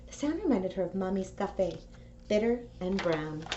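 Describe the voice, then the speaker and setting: Female school presenter, mid-range voice, clear phrasing for families and kids, slightly lo-fi sound. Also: medium pitch, sing-song, normal speed. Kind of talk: video announcements and picture-book read-aloud. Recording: dull audio with faint noise.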